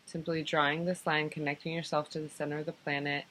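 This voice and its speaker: A woman leading a guided meditation in an unhurried, soothing manner, giving gentle improvised instructions in short phrases with pauses. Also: low voice, little pitch movement. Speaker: guided meditation teacher and host.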